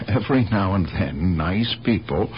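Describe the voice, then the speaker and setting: A police lieutenant, fairly low and very animated, pressing his questions with exasperated persistence. It has the dull, slightly hissy sound of an old radio play. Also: moderate pace. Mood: insistent. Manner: probing, insistent questioning. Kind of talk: 1970s radio drama acting. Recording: dull, faintly noisy 1970s radio recording.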